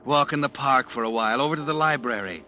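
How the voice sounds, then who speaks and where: Brooding male narrator for an old radio thriller, bright edge to the voice, played by a man, muffled lo-fi sound. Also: medium pitch, quick pace, faint music behind, lightly acted. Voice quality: brooding, insinuating. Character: ominous second-person narrator, male. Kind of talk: 1940s radio drama narration.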